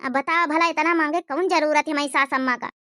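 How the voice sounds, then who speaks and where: A woman's voice: sits high, steady tone, fast talker, sharp tone, no echo, studio quality. A woman voicing an anxious, devoted young wife in a cartoon comedy, plaintive and fretful, with an Awadhi accent.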